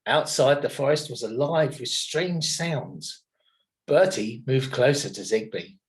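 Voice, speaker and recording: A man narrating a children's storybook in an expressive, dramatic manner. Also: mid-range voice, unhurried. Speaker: children's story reader at a primary school.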